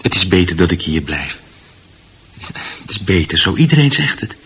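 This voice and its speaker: A gentle, confused husband in a radio drama. His low voice is very husky and swings up and down as he haltingly repeats himself. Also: very animated, exaggerated voice. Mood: anxious, resigned. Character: confused, gentle confined husband fretting about his garden.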